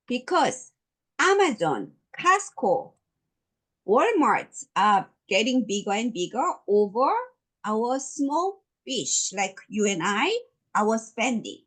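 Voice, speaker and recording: Middle-aged Korean woman, slow emphatic motivational speaker, lively intonation. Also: fairly high pitch. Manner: emphatic, repetitive, deliberate. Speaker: network marketing presenter. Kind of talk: motivational business presentation.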